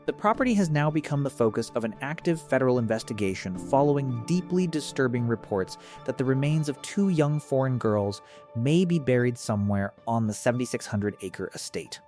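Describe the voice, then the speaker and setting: A man's voice: medium pitch, animated, brisk, darkish tone, soft background music. A man reading scripted documentary-style narration in a grave, dramatic manner.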